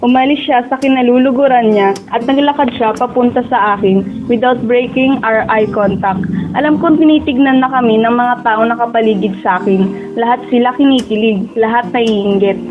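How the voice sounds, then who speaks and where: A young woman acting as a dreamy, self-doubting high school girl who narrates her own story in a radio drama, mixing in English. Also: fairly even intonation, moderate pace, music in the background, close to an everyday voice. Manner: code-switches into English. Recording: tinny, phone-like audio with hiss.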